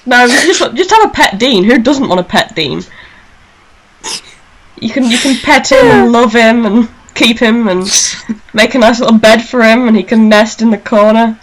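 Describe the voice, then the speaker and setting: A woman's voice: fairly high pitch, animated, normal speed, poor audio. A woman hosting a casual fan discussion over an internet call, informal and playful in how she talks. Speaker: online radio show host. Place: internet call recorded on a computer.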